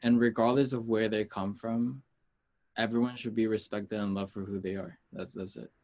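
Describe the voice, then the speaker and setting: A man from the United States chatting casually as an interview guest, informal and rambling, pausing to gather his thoughts. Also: fairly low pitch, steady tone, a little mellow. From United States, Peruvian heritage. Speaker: interview guest, music artist.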